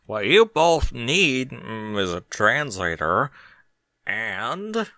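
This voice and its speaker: Man voicing a slow, brazen, lustful pony mare. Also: mid-range voice, big pitch swings, pushed and bright, no room sound, clean studio sound, heavily acted. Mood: teasing. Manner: drawn-out, teasing, commanding, with humming hesitations. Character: brazen, pushy, lustful adult female pony.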